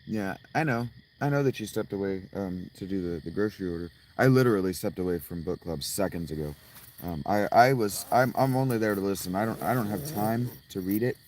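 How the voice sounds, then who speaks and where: Hoarse low-voiced man chatting at night. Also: a little fast, darkish tone, a little hiss or hum, low-quality recording. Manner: rambling, profane, restarts often. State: hoarse, strained voice. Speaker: livestreamer. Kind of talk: casual livestream chat with viewers. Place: outdoors at night by a fountain.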